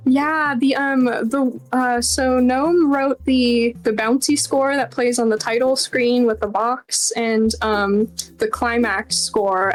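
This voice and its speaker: A woman's voice: a little high, rough recording. A woman being interviewed as a game developer, talking casually and informally in a chatty, self-deprecating way. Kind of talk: casual Q&A chat.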